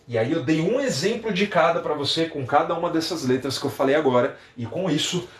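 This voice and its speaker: A young Brazilian man with a Brazilian Portuguese accent, an English teacher giving a lively live-stream lesson and chatting with viewers. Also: fairly high pitch, reverberant. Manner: lively, animated, reads out viewer comments.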